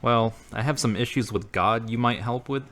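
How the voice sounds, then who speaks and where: A man's voice: mid-range voice, normal speed, fairly bright tone, lightly acted. A man playing an earnest, grieving former carpenter who is seeking faith again, in an amateur audio drama. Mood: polite, hesitant.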